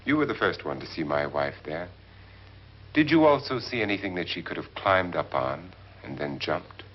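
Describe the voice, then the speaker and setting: An actor playing a wealthy, icy, imperious middle-aged husband in a theatrical 1950s horror film style. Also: male voice, low voice, animated, moderate pace, breathy edge. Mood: measured, suspicious. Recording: muffled 1950s film soundtrack with music.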